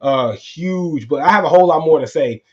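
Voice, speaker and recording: A man hosting a solo wrestling recap podcast, tired with a voice going hoarse, talking in a casual, rambling way that repeats itself. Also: a little high, lively intonation, normal speed, dull audio. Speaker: podcast host.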